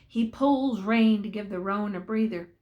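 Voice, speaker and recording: A woman's voice: mid-range voice, a little slow, highs cut off. An older woman narrating a story aloud in a folksy, dramatic way, drawing out key words.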